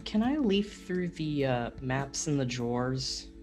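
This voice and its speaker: A man's voice: medium pitch, very animated, slow, darkish tone, faint music behind. A man playing a tabletop roleplaying game, talking casually as he explores the scene. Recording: faint background noise.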